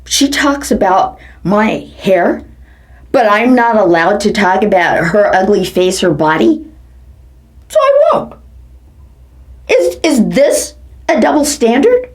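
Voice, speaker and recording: Theatrical woman with wildly swinging pitch. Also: sing-song, takes it slowly, pauses often. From United States. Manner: theatrical, with sudden swings between low mutters and high exclamations. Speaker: performance artist. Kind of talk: satirical dramatic reading of quotes. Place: home living room.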